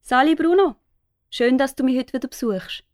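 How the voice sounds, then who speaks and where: A kindly, homely aunt, acted by a woman talks in Swiss German with a high voice. The sound is dry and close, in the manner of a children's audio story. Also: normal speed, a little mellow, dry recording, natural voice. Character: kindly, homely aunt looking after her nephew.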